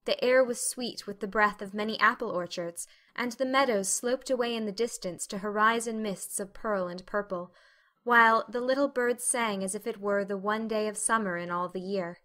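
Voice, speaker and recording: Female audiobook narrator, mid-pitched and bright, for dramatic reading of classic fiction. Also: normal speed. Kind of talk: scripted dramatic storybook narration.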